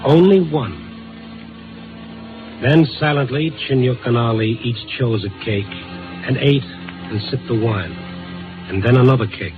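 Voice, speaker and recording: An actor playing an earnest, world-weary American newspaper reporter in a 1950s radio drama, speaking in clipped, dramatic phrases. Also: male voice, mid-range voice, a little slow, long pauses, natural voice. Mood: hushed, suspenseful. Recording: narrow-band vintage radio broadcast. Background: dramatic music score.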